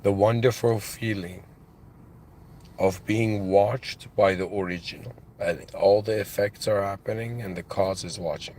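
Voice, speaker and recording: A man with an accent in English speaks slowly and unhurriedly in a low voice, musing aloud about faith and creation. He talks in halting phrases, restarting his sentences often, and mixes in devout phrases. A faint hiss of background noise sits under his voice. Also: fairly even intonation, a little mellow. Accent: non-native English. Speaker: channel host. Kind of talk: reflective spiritual monologue.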